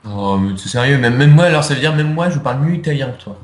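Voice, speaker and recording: A man's voice: mid-range voice, animated, fast talker, roomy sound. A young man answering interview questions in casual chat, hesitant, with many fillers and restarts. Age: young adult. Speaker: interviewee, video creator.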